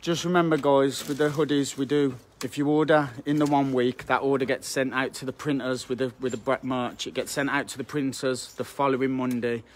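A young man talks straight into a handheld phone while he picks his way through a dark, derelict building. His speech is loose and blunt, with the odd swear, and he often turns to address his viewers. A faint hiss runs under the audio. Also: mid-range voice, flat delivery, a little fast, few pauses. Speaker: urban explorer vlogger. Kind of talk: casual live-stream chat. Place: dark abandoned building indoors. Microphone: phone at arm's length.

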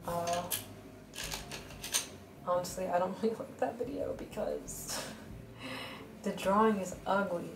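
A woman chatting in a casual voice-over while drawing, with a flat, monotonous, blunt way of talking full of fillers. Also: lowish voice, slow, long pauses, noisy background. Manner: flat, monotonous speech pattern; blunt and rambling, many fillers. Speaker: art vlogger. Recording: roomy voice over background music.

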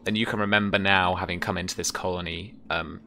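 A man acts as game master, describing scenes in a measured way with trailing pauses. His voice is very deep yet bright, with slight noise around it on a video call. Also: steady tone, vocal fry. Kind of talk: descriptive tabletop game narration. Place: small indoor room at home.

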